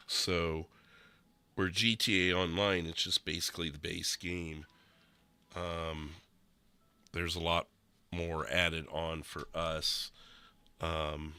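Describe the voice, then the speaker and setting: A young man streaming a game, sounding nasal and rough after being sick, explaining things casually with lots of fillers. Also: very deep voice. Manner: relaxed, rambling explanations with many fillers. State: nasal and rough from recent illness. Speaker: streamer explaining a roleplay server. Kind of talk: casual gameplay commentary.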